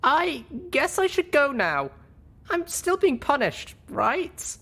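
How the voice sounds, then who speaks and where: Man voicing a flustered, high-pitched young mare adventurer. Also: big pitch swings, takes it slowly, sharp tone, heavily acted. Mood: pleading. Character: bashful, eager young female pony adventurer.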